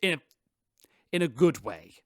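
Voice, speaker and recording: A man's voice: fairly high pitch, big pitch swings, measured pace. An actor playing a flustered, pompous male spaceship captain in a sci-fi radio drama, with a theatrical manner. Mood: smug, vindicated.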